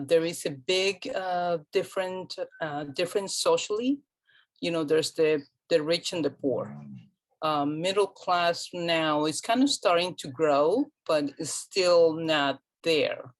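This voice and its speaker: Low-voiced woman, hesitant. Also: slow. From Colombia. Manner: hesitant, many ums and restarts. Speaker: guest. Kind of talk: casual interview conversation.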